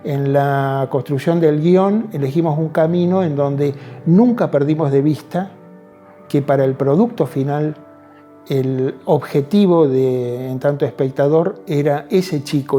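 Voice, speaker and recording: A man with an Argentine accent and a very dark, soft-edged voice talks reflectively in an interview. He often checks in with short tag questions. Music plays behind him. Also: mid-range voice.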